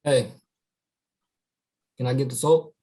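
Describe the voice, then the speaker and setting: A man's voice: medium pitch, steady tone, slow, mellow, close to an everyday voice. A man playing a shady gang member in a screenplay table read, speaking tersely and informally. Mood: casual. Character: shady male gang member tipping off a guard. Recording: remote online read.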